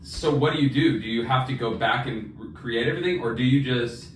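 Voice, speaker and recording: A man co-hosts a writing podcast in loose, slangy conversation. His voice is mid-pitched and sits back from the mic in a roomy space, with faint noise underneath. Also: a little fast, recorded from a distance. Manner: informal, slangy. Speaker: podcast co-host and fiction writer. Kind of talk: casual chat about writing.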